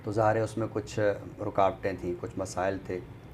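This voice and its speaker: A man with a Pakistani accent, speaking as an expert guest on a literary talk show in a measured, explanatory way. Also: low voice, little pitch movement, a little mellow, a little hiss or hum. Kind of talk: conversational commentary on a talk show.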